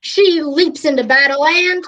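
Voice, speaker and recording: A woman playing an earnest, melodramatic, easily flustered radio news reporter in a comic radio play, halting and polite. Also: sits high, bright, forceful tone. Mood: breathless awe.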